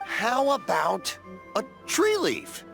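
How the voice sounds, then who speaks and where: A hearty, jovial festival vendor. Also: male voice, extremely high pitch, huge pitch swings, slow, creaky, fairly bright tone, soft background music, heavily acted. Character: friendly middle-aged male festival vendor. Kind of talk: video game voice acting.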